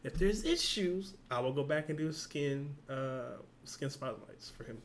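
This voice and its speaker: Excitable man reacting to a game. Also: a little high, slight background noise. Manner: excitable, rambling, talks fast when worked up. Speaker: reaction video host. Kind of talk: casual excited reaction commentary.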